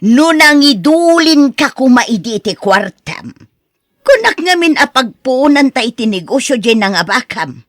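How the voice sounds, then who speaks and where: A woman's voice: high-pitched, sing-song, a little slow, no room sound, studio quality. A woman with an Ilocano accent playing a nagging, exasperated wife reproaching her gambler husband in a radio drama. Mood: bitter nagging.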